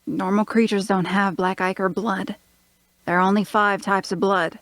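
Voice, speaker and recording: A dry-recorded woman acting as a hard-nosed fantasy mercenary. The voice is medium in pitch, with a blunt, matter-of-fact delivery. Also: flat delivery, moderate pace, a bit bright, no room sound, natural voice. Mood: analytical. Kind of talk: fantasy audio drama acting.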